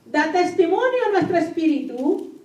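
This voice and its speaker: Very high-pitched woman preacher in an echoey room. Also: very animated, normal speed, a little mellow, lo-fi sound. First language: Spanish. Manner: emphatic, repeats key phrases and puts questions to listeners. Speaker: pastor preaching to a congregation. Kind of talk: sermon with scripture reading. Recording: echoey room, distant microphone.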